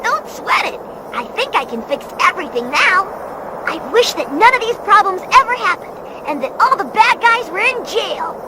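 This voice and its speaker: Very high-pitched plucky cartoon boy. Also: sing-song, moderate pace, very noisy. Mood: confident. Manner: breezy, eager, kid-like. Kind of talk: cartoon video game acting.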